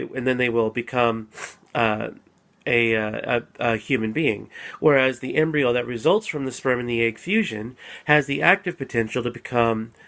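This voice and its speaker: A man recovering from a cold, calmly explaining his views in a casual solo video talk, with frequent fillers and restarts. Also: medium pitch. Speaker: apologetics speaker. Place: at home.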